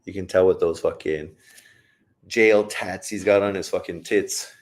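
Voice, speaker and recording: A deep-voiced man gives loose live commentary on a bout, cursing freely and cracking jokes between punches. His low voice stays casual and blunt as he shouts encouragement at the fighters and reads out viewer questions. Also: fairly even intonation, unhurried. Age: adult, old enough to say he got old. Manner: profane, blunt and joking. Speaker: livestream host reacting to a boxing fight.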